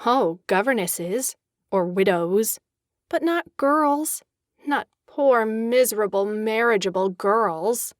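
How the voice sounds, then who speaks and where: A refined, witty young socialite. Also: female voice, on the high side, takes it slowly, no room sound, studio quality. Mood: wistful discontent. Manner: refined, expressive, coquettish. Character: elegant young socialite, discontented, witty and charming. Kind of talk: dramatized audiobook acting.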